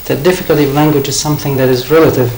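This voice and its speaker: A man speaking as a guest on a studio panel, calm and explanatory. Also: mid-range voice, racing. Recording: echoey studio, faint noise.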